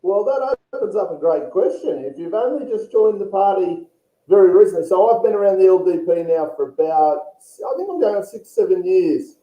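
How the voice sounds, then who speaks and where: An Australian man hosts a casual interview in a friendly, blokey manner. His voice sits a little high. The sound is thin and phone-like, with a roomy echo. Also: animated, brisk, mellow. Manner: chatty, blokey, says mate often. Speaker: interviewer, show host.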